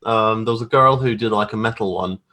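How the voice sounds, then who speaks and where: Low-voiced man chatting informally as a guest. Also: a little fast, pushed and bright. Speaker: guest graphic artist. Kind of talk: casual video interview, explaining own work. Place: remote video call.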